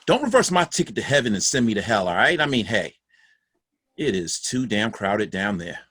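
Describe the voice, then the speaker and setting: A man playing a troubled father who pleads with wry humor and a husky voice, in a dramatic play reading. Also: lowish voice, very animated, fairly bright tone, full character voice. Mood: pleading, wry. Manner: slow, beseeching, wryly comic. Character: troubled late father, pleading comically with God.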